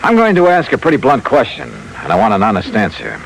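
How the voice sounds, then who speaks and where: An actor playing a shrewd, probing insurance investigator, clipped and wry, in an old-time radio drama. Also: male voice, lowish voice, wildly swooping pitch, a little fast, creaky, husky, full character voice, poor audio. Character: shrewd, probing male insurance investigator, narrating his case. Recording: vintage radio recording, loud music.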